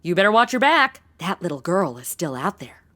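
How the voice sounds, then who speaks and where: A woman's voice: fairly low pitch, very animated, brisk, fairly bright tone. A woman playing a brash, snarky young modern sidekick in a comedy audio drama, slangy and sarcastic. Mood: sarcastic, quippy.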